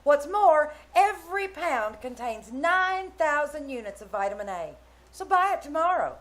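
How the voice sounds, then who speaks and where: A woman announcer delivers a scripted sponsor spot in 1940s radio style. Her voice is high and highly animated, with emphatic phrasing. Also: a little slow. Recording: live small-theater stage reading.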